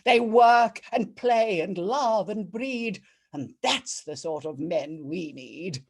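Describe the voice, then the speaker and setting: A woman's voice: lowish voice, creaky, darkish tone, husky, heavily acted. A woman playing a righteous female judge, declaiming comic rhyming verse theatrically and savouring each rhyme. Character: regal, righteous female judge of a bawdy court. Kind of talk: dramatic verse reading, rhyming comic poem.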